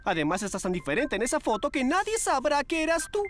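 A man's voice: very high voice, very animated, fast, over music. A man playing a flippant young male stylist in a cartoon dub, flamboyant and breezy. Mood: breezy, soothing. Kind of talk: animated children's cartoon dub.

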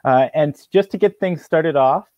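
An earnest man in his thirties speaks at a measured pace on a video call. His voice sits mid-range and sounds dry and close, and the call audio is slightly dull. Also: on the high side, dry recording. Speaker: guest co-host. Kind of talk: formal land acknowledgement.

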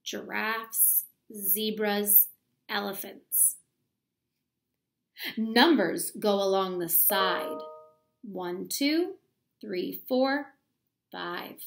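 A woman in her thirties reading a children's book aloud like a teacher, explaining deliberately and asking the young listeners questions. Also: mid-range voice, creaky. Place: small plain room at home, indoors.

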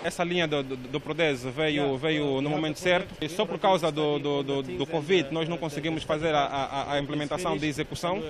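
A man, a business owner, answers an interview haltingly, repeating words and using many fillers. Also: on the high side, fairly even intonation, crowd noise.

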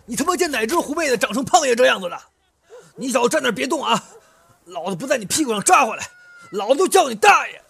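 Brief: male actor as a boastful, loud burly ex-monk in an audio drama. Voice high and bouncy. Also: big pitch swings, fast, creaky, breathy edge, exaggerated voice. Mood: swaggering. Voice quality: loud and swaggering. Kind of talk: amateur audio drama acting.

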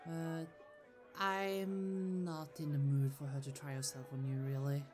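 A woman's voice: low-pitched, flat delivery, measured pace, a little mellow. A woman playing a protective, easily annoyed sorceress sister in a fantasy audio roleplay, chatty, affectionate and firm. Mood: reassuring, confiding. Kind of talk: ASMR roleplay acting. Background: music.

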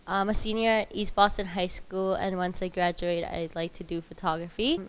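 A teenage girl from El Salvador, a student, introducing herself quietly. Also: lowish voice, steady tone, moderate pace, clean tone. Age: teens.

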